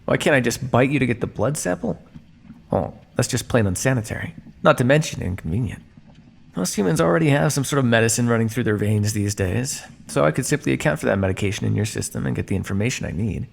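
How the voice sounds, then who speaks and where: A man's voice: mid-range voice, expressive, fast, vocal fry, husky, exaggerated voice. A man playing a smug, teasing vampire scientist captor in an ASMR roleplay, speaking with a theatrical, drawling, mock-polite and sardonic manner. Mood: smug, explanatory. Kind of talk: ASMR roleplay monologue addressed to listener.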